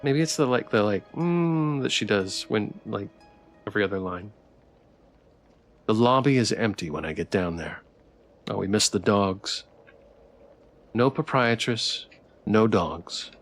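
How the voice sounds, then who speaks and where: A man live-streaming a story game, switching between casual, playful, halting chat with viewers and reading narration aloud. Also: on the low side, lively intonation. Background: background music.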